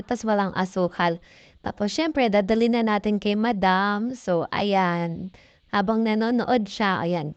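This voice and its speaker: A woman's voice: medium pitch, darkish tone, no room sound, dull audio. A young Filipino woman with a chatty, informal manner, narrating a casual vlog as a voice-over. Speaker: mom vlogger.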